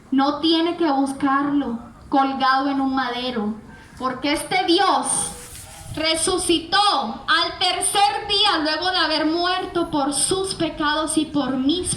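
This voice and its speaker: A woman preaches with a very high, bright voice at an unhurried pace. She speaks earnestly and emphatically to the listener, leaning on questions and repetition. The sound is echoey. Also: slight background noise. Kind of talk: evangelical sermon addressed to the listener.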